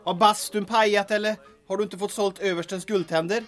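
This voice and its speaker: A man's voice: sits high, faint music behind. A man playing a flippant, muttering mechanic in an audio drama, with a dry, sarcastic manner. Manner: sarcastic, deadpan.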